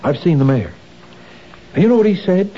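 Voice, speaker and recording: Mellow, earnest husband, vintage radio play with a dull hissy sound. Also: male voice, high-pitched, big pitch swings, fairly quick. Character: worried middle-aged husband, city official. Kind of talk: 1970s radio drama acting. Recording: dull old radio broadcast with hiss.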